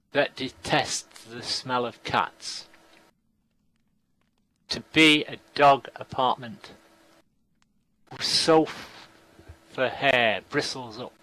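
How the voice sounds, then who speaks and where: A man performing a poetry reading in deliberate short phrases with long pauses. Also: medium pitch, pushed and bright, poor audio.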